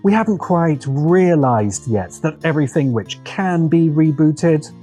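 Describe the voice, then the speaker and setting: Measured, mellow man narrating with lively intonation. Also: a little high, soft background music. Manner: deliberate, with dramatic pauses and emphasis; wry, opinionated. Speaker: video essay narrator and host. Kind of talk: scripted video essay narration.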